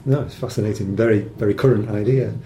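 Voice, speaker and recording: A man's voice: fairly even intonation, fast talker, dark tone. A man asking interview questions in a casual, slightly hesitant, informal way. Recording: roomy echo, background rumble.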